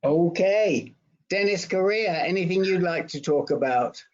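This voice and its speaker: Man, group moderator, slow pace, slightly high pitch, chatty and joking, casual roll call, dull lo-fi call audio.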